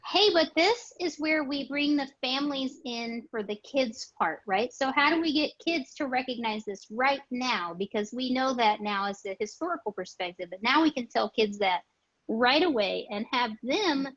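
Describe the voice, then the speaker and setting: A woman hosting a casual webinar discussion, brainstorming aloud with excitement and reading out chat suggestions. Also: sits high, normal speed, dry recording, dull audio. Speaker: webinar host. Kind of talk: casual panel discussion on a video call.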